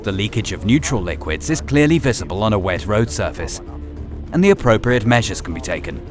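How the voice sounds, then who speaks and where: Low, lively male training film narrator. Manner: measured, instructional. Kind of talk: scripted narration. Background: music.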